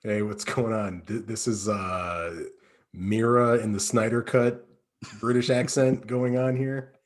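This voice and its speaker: A male podcast guest who drops dry, teasing remarks into a casual chat. His voice is low and his pace is unhurried. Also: fairly even intonation.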